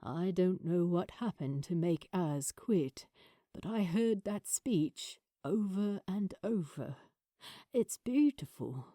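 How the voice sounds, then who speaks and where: A woman voicing a gentle, wry, frail elderly father in audiobook dialogue, speaking fondly and in a measured way. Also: fairly low pitch, lively intonation, no room sound, clean studio sound. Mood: gentle, encouraging.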